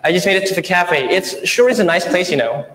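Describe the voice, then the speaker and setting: A man's voice: sits high, fairly quick, a bit bright, slight background noise. A young man playing a friendly, devout college student raised abroad, speaking casually and earnestly in acted film dialogue. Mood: easygoing. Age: young adult. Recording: echoey, reverberant hall playback.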